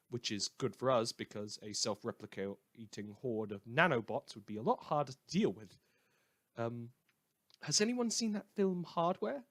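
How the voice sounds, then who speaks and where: A man's voice: medium pitch, lightly acted. A man playing a sardonic, theatrical radio news host in a dystopia, delivering satirical scripted bulletins with emphatic mock cheer.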